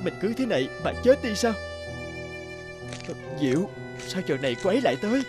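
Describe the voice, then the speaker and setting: Plaintive young patient, very animated. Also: male voice, high voice, fairly quick. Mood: anguished. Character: anguished, lovelorn young male hospital patient. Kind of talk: cartoon drama dub. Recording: loud violin music behind.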